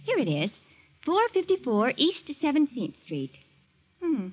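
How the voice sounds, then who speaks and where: A teasing rich young sweetheart. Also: female voice, high-pitched, huge pitch swings, measured pace, full character voice. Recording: dull old radio broadcast.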